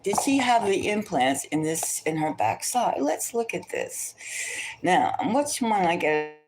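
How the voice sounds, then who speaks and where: A woman's voice: fairly low pitch, big pitch swings, unhurried, low-quality recording. A woman tarot reader chatting casually and thinking aloud, gossipy and opinionated, often rambling and repeating her phrases. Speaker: tarot reader hosting a celebrity psychic reading.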